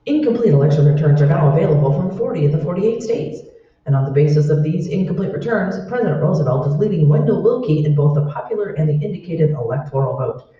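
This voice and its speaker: A man playing an earnest 1940s radio election newsreader with a measured, formal delivery. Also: fairly high pitch, animated, natural voice. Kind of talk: formal scripted news bulletin. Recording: very echoey, distant dull mic.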